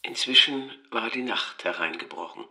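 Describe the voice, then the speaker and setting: A man reads a literary narrative in with measured, deliberate phrasing. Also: medium pitch, animated, bright, forceful tone, breathy edge. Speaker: narrator, reader of a literary text.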